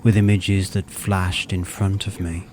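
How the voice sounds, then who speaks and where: A man narrating a scripted first-person story in a measured, literary way. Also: low-pitched, level tone, crowd noise.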